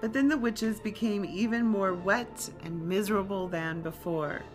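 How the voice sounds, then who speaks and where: A woman reading a children's story aloud in a deliberate, expressive storytime style. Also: mid-range voice, faint music behind.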